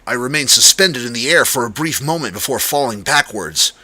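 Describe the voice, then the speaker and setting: A man's voice: medium pitch, expressive, fairly quick, pushed and bright. A man reading a first-person story aloud as a dramatic audiobook narrator.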